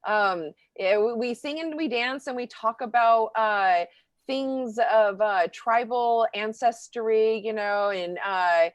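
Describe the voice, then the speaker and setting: A woman's voice: on the high side, takes it slowly, no room sound, highs cut off. Woman playing a bubbly, flustered local celebrity. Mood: earnest, rambling. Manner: flustered, rambling with stammers and breathy exclamations. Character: bubbly, clueless small-town celebrity actress on a game show. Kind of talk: improvised game show parody.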